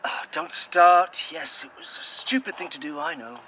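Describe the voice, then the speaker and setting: A man's voice: on the high side, normal speed, pushed and bright, a little hiss or hum, poor audio. An actor playing a curt, sarcastic man who swears freely. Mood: bitter. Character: bitter, sweary, sardonic man, weary. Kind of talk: audio drama acting.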